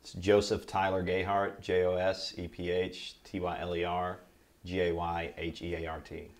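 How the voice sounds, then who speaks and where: An American man giving a recorded oral history interview, recalling his life in a measured, reflective way. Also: low voice, monotone. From Kentucky, United States. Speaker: interviewee, military veteran.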